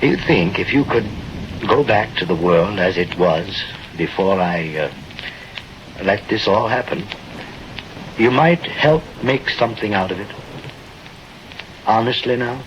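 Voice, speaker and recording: An actor playing a godlike, fatherly older man who speaks slowly and persuasively in an old-time radio drama. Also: on the low side, expressive. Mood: fatherly, frank. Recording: dull old radio recording, noisy. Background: rain and thunder.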